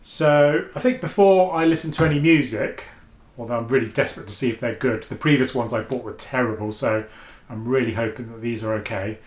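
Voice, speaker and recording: A man's voice: fairly quick, roomy sound. A man, chatting through a casual headphone review at home and thinking aloud as he goes. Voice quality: deep voice. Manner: chatty, thinking aloud, with frequent restarts and fillers. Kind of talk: casual product review vlog. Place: at home, indoors.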